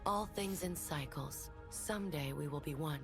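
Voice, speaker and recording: An actress playing a serene, mystical woman of the twilight in a video game, calm and poetic in a long echo. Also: low voice, moderate pace. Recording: long echoing reverb over music.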